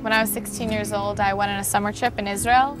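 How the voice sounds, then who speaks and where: A young woman of nineteen tells her own story in a flat, steady voice at a middle pitch. She speaks earnestly, with small fillers between thoughts. A clear music track plays beneath her. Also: quick pace. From New York, USA. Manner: earnest, plain-spoken, with fillers. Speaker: soldier, sniper instructor. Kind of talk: personal interview testimony. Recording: music playing underneath.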